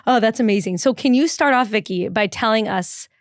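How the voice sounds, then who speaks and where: A woman hosting a casual podcast, upbeat and playful, asking quick questions. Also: on the high side, dry recording, clean studio sound. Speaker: podcast host.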